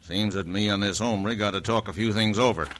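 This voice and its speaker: A man's voice: fairly low pitch, brisk, a bit bright, natural voice. An actor playing a stern, blustering rancher father with an American Western accent in an old radio drama. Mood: angry, stern. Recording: faintly noisy old radio recording.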